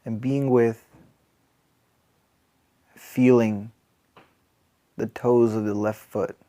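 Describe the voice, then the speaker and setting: A man with a mid-pitched voice leads a guided meditation. His delivery is very even and barely moves in pitch. He speaks gently and calmly, leaving long, unhurried pauses between phrases. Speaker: mindfulness teacher. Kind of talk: guided body scan meditation.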